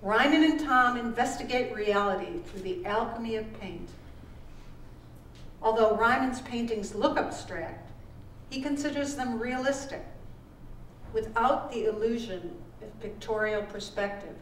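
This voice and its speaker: A woman author reading her own literary text aloud at a live event, with measured, deliberate phrasing. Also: fairly high pitch, a bit bright. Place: live performance event in a room. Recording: roomy, distant microphone with background noise.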